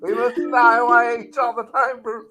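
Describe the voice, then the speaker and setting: A man on a casual podcast dropping wry, quick quips. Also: very high-pitched, level tone, over music.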